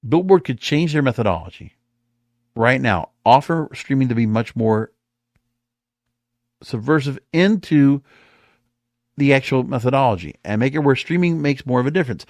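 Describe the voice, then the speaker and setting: A man's voice: medium pitch, expressive, moderate pace, no room sound. An American man hosts a solo podcast in a mid-range voice. He gives his opinions emphatically, drawing out words to stress them. The sound is dry, with very little room. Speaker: podcast host. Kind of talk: opinionated solo commentary on the music industry.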